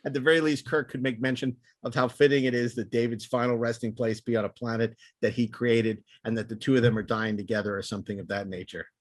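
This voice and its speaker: A man's voice: mid-range voice, flat delivery, fast talker, few pauses, dry recording. Man hosting a casual panel discussion. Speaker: podcast host. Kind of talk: casual panel discussion, reading notes aloud.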